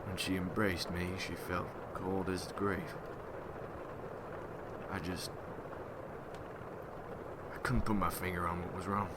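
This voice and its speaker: Man playing low-voiced, haunted old-time vampire hunter. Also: flat delivery, heavy background noise. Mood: grave. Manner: slow, halting, somber folksy storytelling. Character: weary, haunted rural American Civil War veteran turned vampire hunter. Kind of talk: ASMR roleplay monologue.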